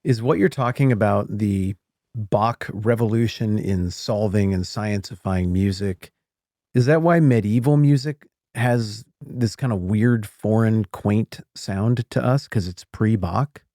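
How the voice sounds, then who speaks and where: A man with a lowish, mellow voice asks slow, searching questions as a podcast host. The sound is dry and close to the mic. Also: no room sound. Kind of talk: casual podcast chat.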